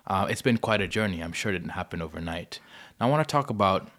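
A man's voice: sits low, lively intonation, fast, a bit bright. A man conducting a casual interview, circling into his questions and rephrasing them as he goes. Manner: frequent short acknowledgements, rephrased questions.

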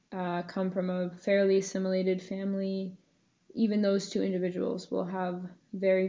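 A young woman speaks as a guest on a podcast, earnest and thoughtful, pausing on fillers. Her voice is mellow and fairly flat in pitch. The recording is dull and lo-fi. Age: young adult. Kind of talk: casual podcast interview.

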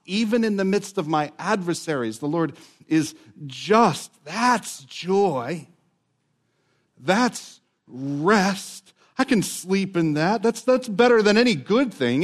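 A male pastor preaching a sermon, dropping to a near whisper and then rising again, stretching out key words. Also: a little high. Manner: dramatic swings from loud to hushed, drawn-out words.

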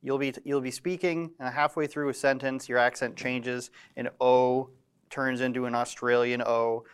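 A man's voice: mid-range voice, little pitch movement, moderate pace, clear, resonant tone. A man hosting an interview, joking wryly and laughing often. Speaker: interview host. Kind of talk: casual interview questions.

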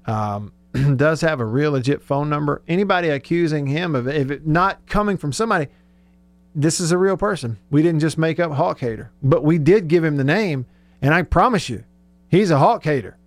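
Male sports talk host, pitch a bit above average, loose conversational delivery. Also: expressive, normal speed, no echo.

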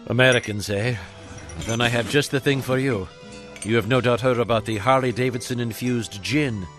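A man's voice: mid-range voice, normal speed, fairly bright tone, faint music behind, close to an everyday voice. A man voicing a bumbling, apologetic French bartender with a French accent in a comedy sketch. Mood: suave. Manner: bumbling, apologetic, mispronouncing words. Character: flustered French bartender Maurice with garbled English. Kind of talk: live radio comedy sketch, often breaking character.

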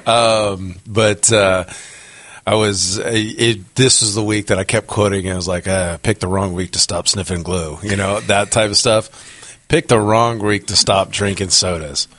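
A man co-hosting a casual podcast, hoarse from a cold, rambling with many fillers and restarts. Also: lowish voice, steady tone, unhurried, a bit bright, no room sound. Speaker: podcast co-host. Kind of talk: casual chat. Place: office.

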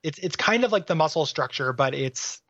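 A man with a fairly high voice talks casually as a podcast co-host about film. His speech is colloquial and peppered with swearing. The recording is dry and slightly dull. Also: expressive, moderate pace, a bit bright, no room sound. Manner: profane, colloquial.

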